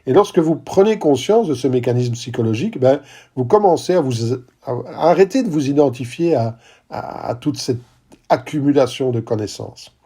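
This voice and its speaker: Husky, mellow French-speaking podcast coach. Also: male voice, mid-range voice, animated, moderate pace. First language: not English. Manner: emphatic, repeats key phrases, addresses listeners with "d'accord?". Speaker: podcast host and business coach. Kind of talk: podcast monologue.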